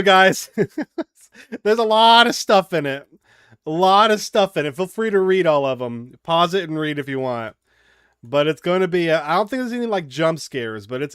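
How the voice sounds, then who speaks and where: A man hosting a gaming stream, chatting informally and haltingly as he comments and reads on-screen text aloud. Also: on the high side, fairly bright tone, smooth, dry recording, studio quality. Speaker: livestream gaming host.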